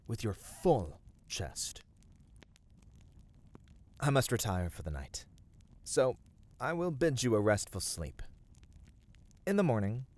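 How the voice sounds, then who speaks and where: A man playing a gentle, caring companion of a fantasy hero in a scripted ASMR roleplay, speaking soothingly and earnestly in formal phrasing. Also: fairly low pitch. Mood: tender, reassuring.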